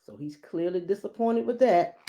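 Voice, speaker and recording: A woman hosting a children's storybook read-aloud, reading expressively with dramatic emphasis and deliberate pauses. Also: on the low side, expressive, dark tone, slight background noise.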